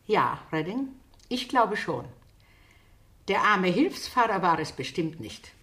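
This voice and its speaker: A woman's voice: fairly low pitch, sing-song, fairly bright tone, exaggerated voice. A shrewd old lady detective in a radio drama, courteous yet unbending. Her intonation moves widely, and she sounds a little away from the microphone in an echoing room. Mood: accusing. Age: older. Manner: courteous but firm, deliberate. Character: shrewd, polite elderly spinster amateur sleuth. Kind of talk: German radio play acting. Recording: roomy, distant studio sound, faint noise.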